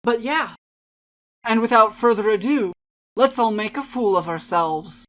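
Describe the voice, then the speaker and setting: A woman's voice: a little high, measured pace, a bit bright. A woman hosting a dramatic reading show, introducing it in a casual, chatty style.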